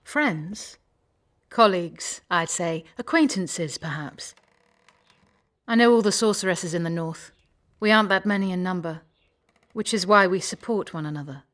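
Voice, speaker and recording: A woman's voice: fairly low pitch, normal speed, pauses often, fairly bright tone, close to an everyday voice. A woman playing a haughty, self-assured sorceress in a video game, with an imperious, clipped delivery. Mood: cool, aloof.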